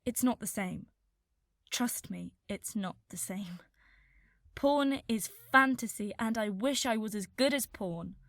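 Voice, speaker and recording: A woman's voice: medium pitch, slow, a bit bright, no room sound, clean studio sound. A woman playing an anxious, self-doubting young woman speaking frankly in a radio play monologue.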